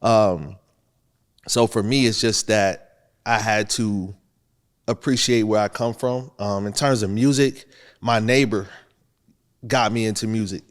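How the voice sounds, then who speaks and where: A man's voice: lowish voice, measured pace, long pauses. A young man from Buffalo, a rapper, talking casually in an interview and telling his story with easy laughs. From Buffalo, New York. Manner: relaxed, storytelling, laughs often. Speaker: rapper guest.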